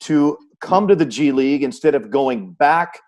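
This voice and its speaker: A man's voice: medium pitch, expressive, normal speed, a little mellow. A man hosting a sports show, putting questions to his guest in a conversational way. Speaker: sports show host and interviewer.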